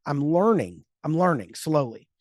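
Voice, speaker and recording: A man in his mid fifties telling a story in casual podcast chat, animated, acting out quotes and laughing often. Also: on the high side, normal speed, no room sound, clean studio sound. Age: 50s. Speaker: podcast host.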